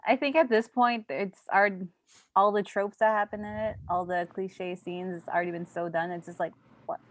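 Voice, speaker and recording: A woman's voice: mid-range voice, fairly even intonation, fairly quick. A woman with a mid-range voice joins a casual group chat, sounding bemused and a little dismissive. Her talk is loose and conversational.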